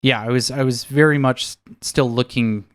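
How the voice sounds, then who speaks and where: A man's voice: medium pitch, steady tone, normal speed, clear, resonant tone. A man in his thirties with an American accent, co-hosting a podcast in a casual chat, often searching for words as he reflects. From United States, near Seattle. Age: 30s. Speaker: podcast co-host.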